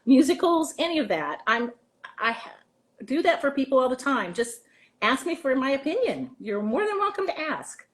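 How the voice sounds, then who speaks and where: A woman's voice: sits high, big pitch swings, moderate pace. An American woman speaking as an interview guest and theater teacher, telling anecdotes conversationally and acting out remarks.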